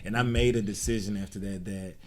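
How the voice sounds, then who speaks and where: Deep-voiced man in lively casual chat. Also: fast talker. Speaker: podcast co-host.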